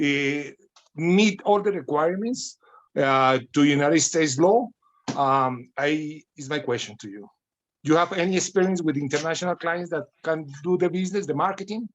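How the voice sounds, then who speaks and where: Hesitant Colombian man with a question. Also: fairly high pitch. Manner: hesitant, searching for words. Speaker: caller. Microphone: video call.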